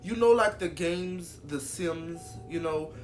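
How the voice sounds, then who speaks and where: A man's voice: on the high side, takes it slowly, faint music behind. A young man with a stuffy, congested voice, talking casually to his channel viewers in a rambling way with lots of fillers and restarts. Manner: rambling, repeats himself, many fillers. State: congested from a cold. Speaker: YouTuber. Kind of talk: casual vlog monologue.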